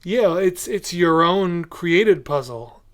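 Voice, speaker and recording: A man's voice: high voice, lively intonation, slow pace. A man hosting a podcast, chatting casually with a guest in an interview style. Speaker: podcast host.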